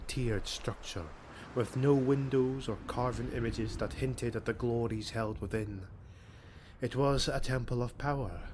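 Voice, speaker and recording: A man's voice: mid-range voice, a little hiss or hum. A man reading a fantasy audiobook as a dramatic narrator, with a grave, theatrical delivery. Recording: music bed under the voice. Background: background music.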